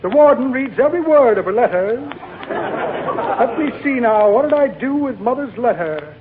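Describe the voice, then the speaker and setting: High-voiced rambling radio swindler. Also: male voice, animated, normal speed, a crowd in the background. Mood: breezy. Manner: muttering patter, rambling wisecracks to himself. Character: genial smooth-talking male crook, pompous small-time swindler. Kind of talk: 1940s radio comedy acting. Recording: tinny, noisy 1940 radio broadcast before audience.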